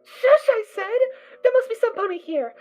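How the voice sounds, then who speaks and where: A woman voicing a cheerful, naive little girl pony foal who talks in a bubbly, excitable way. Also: very high-pitched, unhurried, soft background music. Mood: cautious. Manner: bubbly, sing-song, excitable.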